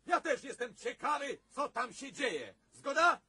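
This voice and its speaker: A man's voice: extremely high pitch, sing-song, a little slow, pushed and bright, a little hiss or hum, full character voice. An actor playing an impulsive, stubbornly brave young man in love in a radio drama, urgent and insistent. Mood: defiant. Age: young adult.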